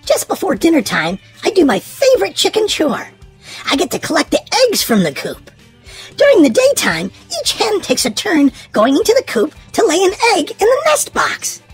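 A woman reads a children's storybook aloud in an expressive, sing-song way, leaning on key words for young listeners. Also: very high-pitched, moderate pace, soft background music.

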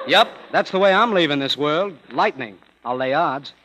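A man's voice: on the high side, expressive, moderate pace, sharp tone, a faint crowd. An actor playing a gloomy, wisecracking young man in a 1930s radio drama. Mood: sardonic. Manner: sardonic, fatalistic. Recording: muffled old radio broadcast in a hall.

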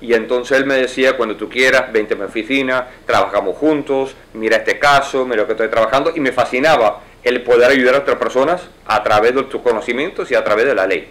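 A man in his forties answers interview questions from behind his office desk. He speaks in a middle-pitched voice, fluently and in an explanatory way, with quick asides. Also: fairly bright tone. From United States. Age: 40s. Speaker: lawyer and judicial candidate. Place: wood-paneled office, indoors.

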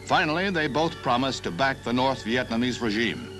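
American newsreel announcer over prominent music. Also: male voice, mid-range voice, lively intonation, moderate pace. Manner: formal, declarative announcer delivery. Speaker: newsreel narrator. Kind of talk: 1960s newsreel narration, scripted. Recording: 1960s newsreel soundtrack with music.

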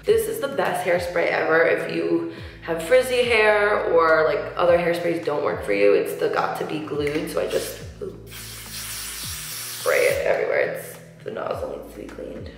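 A woman in her thirties chatting through a makeup tutorial, slightly nasal from a cold, with lots of "like" and soft asides. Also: fairly low pitch, expressive, a little slow. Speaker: beauty vlogger. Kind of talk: casual makeup tutorial chat. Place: large tiled bathroom, indoors. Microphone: camera mic at close range, seated facing camera. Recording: echoey bathroom with faint music.

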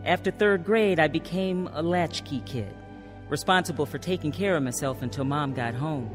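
A woman's voice: sits low, normal speed, soft background music, close to an everyday voice. An actress playing an earnest woman telling of her troubled youth in a radio drama. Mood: reflective. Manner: earnest, expressive. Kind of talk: first-person radio drama narration and acting.

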